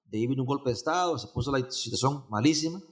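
Un hombre de Honduras con acento hondureño, invitado de una entrevista, que habla de forma suelta con muchas repeticiones y muletillas. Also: male voice, medium pitch, animated, quick pace. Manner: rambling, many restarts and fillers. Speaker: event organizer, guest. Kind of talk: casual interview chat.

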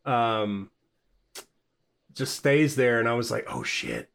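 A man co-hosting a casual music podcast, speaking with excitement, swearing casually and saying "like" constantly. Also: medium pitch, slow pace, clean tone. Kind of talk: casual chat.

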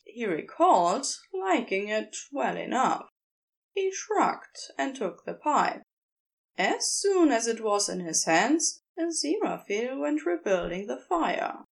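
Female narrator for audio fiction: higher-pitched, expressive, slow and measured. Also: gravelly. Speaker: narrator and reader. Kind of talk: scripted fan fiction narration.

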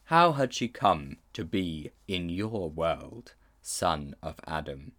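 A man voicing a great lion who speaks slowly, low and deep, with a hint of a growl. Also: very animated, a bit bright, exaggerated voice. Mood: grave. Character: vast solemn male lion, grave and commanding.

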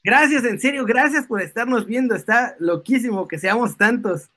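Male stream co-host, Mexican accent. High-pitched, forceful delivery, playful and excitable, casual chat. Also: moderate pace. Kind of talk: casual live-stream banter.

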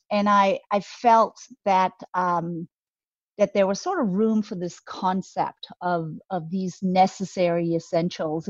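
A woman's voice: lowish voice, dry recording. A slightly muffled, dry recording carries a woman with a Danish accent. She talks in a conversational interview style as a guest, enthusiastic yet thoughtful, often repeating words as she shapes her point. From Denmark. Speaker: guest, beauty brand co-founder.

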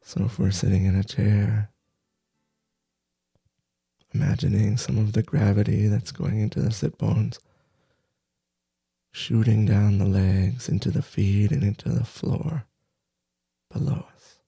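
A man leading a guided meditation, soft-spoken, in short halting phrases with long pauses. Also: fairly low pitch, steady tone, vocal fry, husky, dry recording, soft background music. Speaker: meditation teacher.

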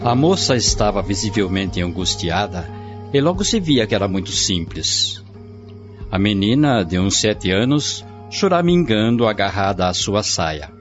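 Deep-ish guy narrating an old radio play, steady and formal, muffled with music under it. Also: a little slow. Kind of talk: scripted radio drama narration.

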